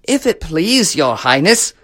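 A woman's voice: low-pitched, sing-song, a little slow, fairly bright tone, pitched above the performer's own voice, heavily acted. A woman voicing a polite prince disguised as a humble beggar in a storybook, courteous and pleading.

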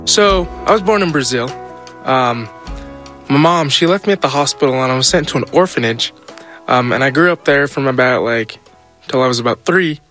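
A man in an interview, telling his own story in a relaxed, casual way with many fillers. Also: mid-range voice, moderate pace, soft background music. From United States.

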